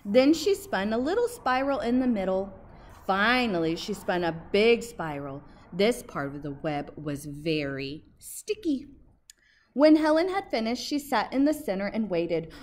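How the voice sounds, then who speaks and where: A woman in her thirties reading a picture book aloud expressively and emphatically, like a teacher, pausing to ask questions. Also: medium pitch, big pitch swings, darkish tone. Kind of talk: expressive children's storybook read-aloud. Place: outdoors by a brick building entrance. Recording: faint outdoor background noise.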